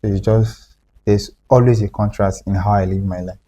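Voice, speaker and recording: A young man, talking about his writing in loose, casual conversation. His voice is fairly low and mellow, and he explains himself with repeats and fillers. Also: fairly even intonation, normal speed. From Nigeria. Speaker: writer guest.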